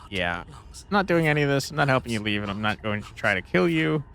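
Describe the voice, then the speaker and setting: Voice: mid-range voice, very animated, fast, gravelly, fairly bright tone, a little hiss or hum. A video game streamer doing casual, wry let's play commentary, reading choices aloud and humming while deciding.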